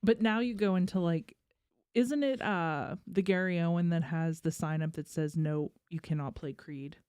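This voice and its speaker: Clear-voiced woman in casual chat. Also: fairly low pitch, moderate pace, a little mellow, no echo, studio quality.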